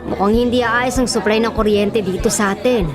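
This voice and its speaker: A woman plays a practical, good-natured Filipino wife who keeps a store. Her voice is fairly high, and music and busy background chatter run under this radio drama scene. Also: fairly even intonation, brisk, lightly acted. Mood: mildly complaining. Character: kindly, practical storekeeper wife, patient with relatives. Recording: music and public-space bustle behind.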